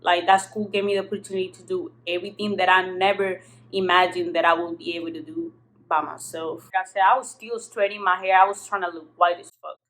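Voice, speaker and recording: A young woman from southern Spain speaks in a fairly low voice, as a native Spanish speaker using casual, slangy English. She tells her story frankly to a camera a little way off in her apartment, swearing freely. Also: steady tone, fast talker, a bit bright, slight background noise, rough recording. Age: young adult. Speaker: vlogger. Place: apartment kitchen-living room.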